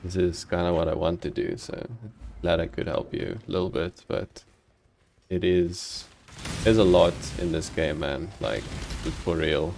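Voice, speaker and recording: Deep gravelly South African man. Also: slight background noise. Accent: South African, slightly Americanized. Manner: relaxed, chatty, halting with many ums and restarts, chuckles. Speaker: game streamer. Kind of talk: casual live-stream chat with viewers while playing.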